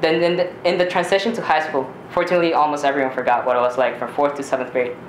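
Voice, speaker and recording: A teenage male from Ecuador, a native Spanish speaker, reading a personal story aloud in a measured, deliberate way with occasional stumbles. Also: on the high side, steady tone. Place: small community theater stage. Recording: roomy live stage recording, faint noise.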